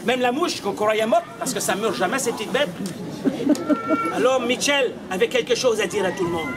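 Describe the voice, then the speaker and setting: Very high-pitched theatrical Québécois street performer. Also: male voice, expressive, normal speed. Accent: Québécois French. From Quebec, Canada. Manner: theatrical, projecting to a crowd. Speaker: street puppeteer and comedian. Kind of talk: comic storytelling to a street audience. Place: outdoors in a town street. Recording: noisy open street, loud crowd.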